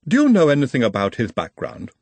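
A man voicing a mysterious, formal stranger who speaks slowly and politely. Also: medium pitch, wildly swooping pitch, a little mellow, husky, dry recording, pitched above the performer's own voice, full character voice. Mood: grave. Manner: slow, measured and polite.

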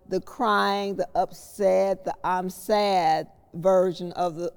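A woman speaking reflectively in a discussion, explaining things in a measured way as a host. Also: medium pitch, a little mellow. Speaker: podcast host. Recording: long echoing reverb.

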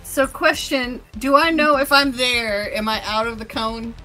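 A woman's voice: a little high, unhurried, pushed and bright, low-quality recording. A woman chatting casually as a player at a game table, playful and sweary, with synth music faintly behind her.

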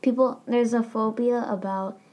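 A young woman co-hosting a casual chat, joking and quick with comebacks. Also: on the high side, steady tone, a little mellow. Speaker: podcast co-host.

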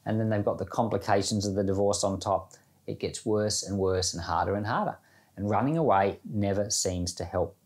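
A man's voice: on the low side, normal speed, darkish tone. A man speaking as a pastor, giving an informal, reflective devotional talk in plain, conversational language. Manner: informal, reflective, plain-spoken, explaining with everyday examples. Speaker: pastor giving Bible podcast commentary and prayer.

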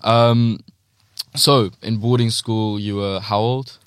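A male podcast host with a very low, even voice, putting his questions in a halting, searching way. He leans on ums and loose phrasing, and his voice is dry and close to the mic. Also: smooth, no room sound. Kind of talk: casual interview questions.

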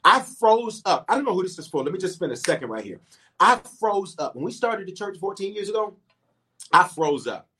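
A middle-aged male pastor and bishop preaching a livestreamed sermon, swinging from quiet asides to sudden emphatic peaks, repeating phrases and calling out to online viewers. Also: sits high, sing-song, a little fast, a little hiss or hum. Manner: talks directly to online viewers, calling for waves and shout-outs.

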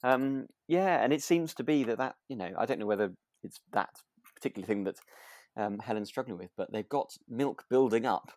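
A British man talks as a podcast co-host in a dry, close sound with no room to it. He is casual and agreeable, feeling his way through sentences in a middle pitch. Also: a little fast, a little mellow, dry recording. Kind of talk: relaxed casual chat about a radio drama.

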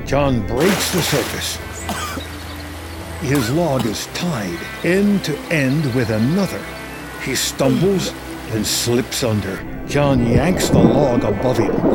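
A man narrating a screenplay in the present tense with measured, dramatic storytelling. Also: medium pitch.

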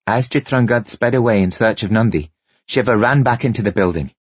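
Guy narrating an audiobook, low n husky, really expressive, dry slightly dull recording. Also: a little fast, dry recording. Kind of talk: dramatic scripted narration.

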